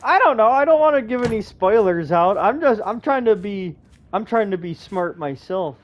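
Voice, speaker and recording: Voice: medium pitch, animated, moderate pace, slight background noise. A game player giving casual, slangy, laid-back playthrough commentary on a lo-fi mic. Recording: dull lo-fi mic, faint music.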